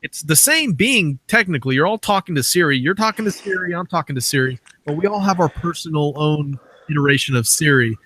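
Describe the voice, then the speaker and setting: A man's voice: fairly high pitch, lively intonation, brisk, bright, forceful tone. A man hosting an interview, talking casually and conversationally as he sets out questions and ideas. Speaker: interviewer, podcast host.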